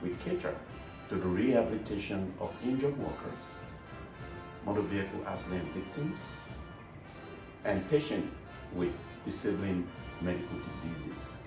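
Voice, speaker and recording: A male physician from Nigeria with a deep voice gives a formal, measured greeting. He sounds distant from the mic in an echoey space. Music plays clearly underneath. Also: animated. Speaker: clinic owner, physician. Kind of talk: recorded video greeting.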